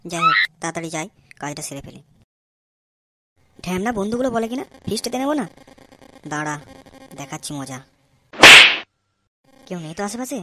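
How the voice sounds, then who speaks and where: A woman's voice: lowish voice, big pitch swings, fairly quick, pauses often, very noisy. A woman voicing a sly, cheeky young male freeloader in a comic cartoon, muttering and scheming in crude colloquial slang. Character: sly, cheeky freeloading young guy plotting petty theft. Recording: loud music behind voice. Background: loud music.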